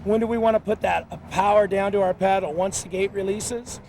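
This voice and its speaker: A man's voice: sits high, fairly even intonation, normal speed, a little mellow, a little hiss or hum. A man hosting an instructional sports video, narrating and interviewing with enthusiastic, slangy talk. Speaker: host and trainer.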